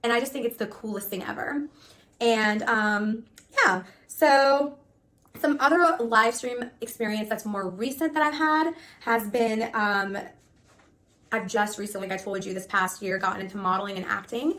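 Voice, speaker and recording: A woman in her thirties, originally from Pakistan, giving a spontaneous, rambling, animated self-introduction. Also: on the high side, normal speed. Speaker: live stream host applicant.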